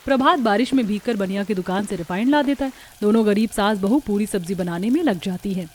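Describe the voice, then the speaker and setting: A woman's voice: medium pitch, a little fast, darkish tone. A woman reading scripted narration for a Hindi cartoon family story.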